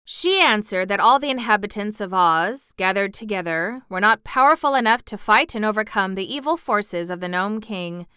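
A woman voicing a kindly, anxious emperor made of tin in a storybook, speaking formally and earnestly in a measured way. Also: medium pitch, animated, bright, forceful tone, clean tone, dry recording, studio quality. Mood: grave, earnest. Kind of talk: storybook narration character voice.

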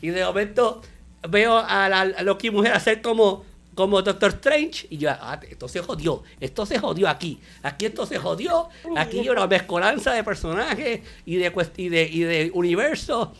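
A man, co-hosting a casual show review chat, animated and mixing in English words. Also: sits high, normal speed. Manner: animated, mixes English words, laughs often. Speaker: podcast co-host.

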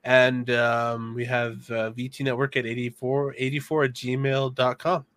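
A man's voice: medium pitch, level tone, unhurried, fairly bright tone. A young man co-hosting a casual podcast, laid-back and calling his partner bro and dude. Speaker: podcast co-host. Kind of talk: casual chat.

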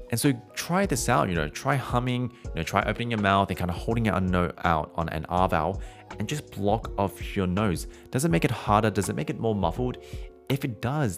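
Man, voice teacher, deep low voice, casual explaining, hums and sung vowels as examples, faint music behind. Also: creaky. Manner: conversational, demonstrates with hums and held vowels. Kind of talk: explanatory singing lesson, podcast.

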